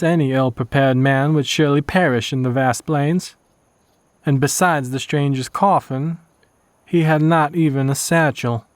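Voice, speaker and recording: A man's voice: medium pitch, no room sound, close to an everyday voice. A man voicing a haunted Old West townsman with a Louisiana accent, narrating a horror story in a solemn, old-fashioned way. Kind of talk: scripted first-person horror narration.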